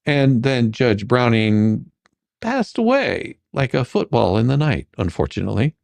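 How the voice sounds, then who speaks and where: A performer playing a bumbling, sarcastic questioning attorney in a comedic deposition reading, halting and theatrical. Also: mid-range voice, sing-song, vocal fry, darkish tone, no room sound. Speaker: dramatic reader.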